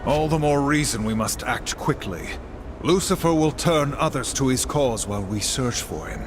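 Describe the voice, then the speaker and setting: A man's voice: mid-range voice, expressive, normal speed, background noise, close to an everyday voice. An actor playing a stern, dutiful apocalyptic horseman in a video game, with a solemn, commanding, formal manner. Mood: grave. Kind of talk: video game cutscene acting.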